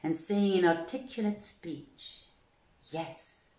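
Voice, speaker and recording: Female storyteller for literary narration: slightly low voice, unhurried dramatic delivery, roomy acoustic. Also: expressive, a little mellow. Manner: measured, dramatic, deliberate phrasing. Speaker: storyteller, reader.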